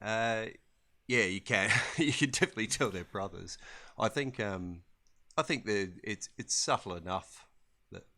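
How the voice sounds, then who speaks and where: An Australian man co-hosts a podcast in a low voice with a slightly gravelly edge. He talks in a relaxed, halting way, full of ums and restarts. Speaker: podcast co-host. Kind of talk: casual podcast chat.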